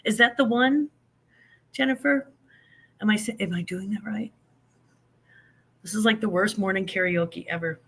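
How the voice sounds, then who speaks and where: Chatty grandmother with a bright voice. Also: medium pitch, moderate pace, pauses often, slightly rough. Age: older. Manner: chatty, rambling, self-deprecating, reads and answers chat. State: stuffy from allergies. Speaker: livestream host. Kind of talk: casual livestream chat.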